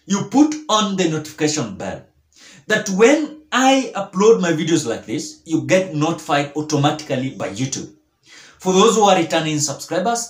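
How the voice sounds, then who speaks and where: Young kenyan guy vlogging politics, pretty high voice, very up and down, emphatic, roomy echo. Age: young adult. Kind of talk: direct-to-camera news commentary. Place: small bare-walled room indoors. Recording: echoey small room.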